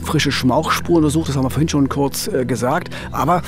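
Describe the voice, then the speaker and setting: A middle-aged man presenting a true-crime case in a deliberate, emphatic, conversational narration on a studio microphone. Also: medium pitch, faint music behind. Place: indoor radio studio. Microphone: studio boom microphone.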